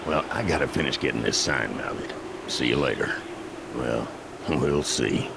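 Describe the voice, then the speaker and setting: A man playing a gruff, evasive, spooked small-town handyman in an animated game, curt and folksy with a gravelly voice. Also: a bass voice, unhurried, noisy background, full character voice. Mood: muttering, uneasy.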